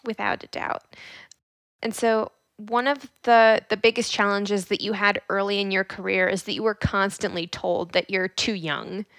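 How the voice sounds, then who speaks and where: A woman interviewer chats with her guest, often agreeing and building on the guest's points. She speaks fluently at a middle pitch. The recording is dry, with no room sound. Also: steady tone, normal speed, fairly bright tone, no echo. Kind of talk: casual interview.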